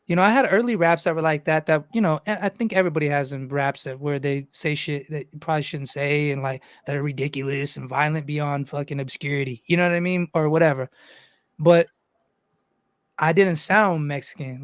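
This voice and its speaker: A man's voice: a little high, no room sound. Rambling, loose-talking man with a dry sound. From Los Angeles. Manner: rambling, loose, swears freely. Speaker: guest rapper. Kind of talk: casual interview chat.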